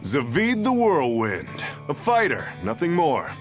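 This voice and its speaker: An actor playing a swaggering, taunting rogue fighter in a video game, slangy and carefree. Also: male voice, fairly high pitch, wildly swooping pitch, measured pace, faint music behind. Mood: cool swagger. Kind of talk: English video game voice acting.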